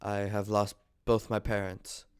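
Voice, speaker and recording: Polite young gentleman suitor read by a man, deep clear voice, nervous and deferential, stage comedy read-through. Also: little pitch movement, unhurried. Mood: awkward, confessing. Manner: deferential, hesitant, formal. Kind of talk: amateur table reading of a stage comedy.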